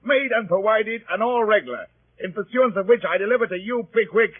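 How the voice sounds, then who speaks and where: Officious lawman, husky, blustering, muffled scratchy 1930s radio. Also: high voice, expressive, brisk. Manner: pompous, declamatory. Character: officious, blustering lowly law officer, bluntly smug.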